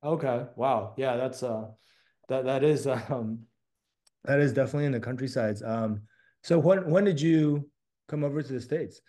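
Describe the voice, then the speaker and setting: A man who moved to the States from Taiwan as a child, hosting an interview in a relaxed, casual way with many fillers. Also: mid-range voice, normal speed. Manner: casual, friendly, lots of fillers like "um" and "like". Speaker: host.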